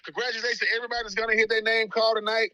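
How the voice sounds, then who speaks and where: A man in his forties gives heartfelt commentary in a quick, emphatic rhythm like a preacher. His voice sits high and sounds very bright, recorded close and dry. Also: little pitch movement, clean tone, dry recording, low-quality recording. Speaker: guest, radio host and former pro football player.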